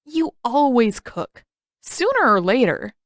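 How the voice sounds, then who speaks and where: Lady doing a cocky whiny-defensive teen boy in an audiobook, emphatic, pitched up a bit, dry sound. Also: very animated, takes it slowly, no room sound. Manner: protesting, emphatic. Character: indignant, boastful, defensive teenage boy. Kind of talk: audiobook character voice.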